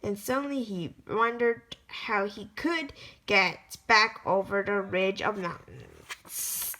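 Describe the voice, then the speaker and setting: Slow, bright-voiced woman reading aloud. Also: medium pitch, poor audio. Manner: slow, expressive storytelling with lively emphasis; occasional stumbles and restarts while reading. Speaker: reader of a children's picture book.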